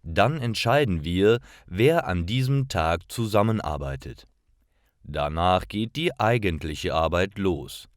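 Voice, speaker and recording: A man reading scripted stories for language learners, narrating carefully in clearly separated phrases. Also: low voice, lively intonation, takes it slowly, no room sound, clean studio sound. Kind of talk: scripted narration, slow learner-friendly storytelling.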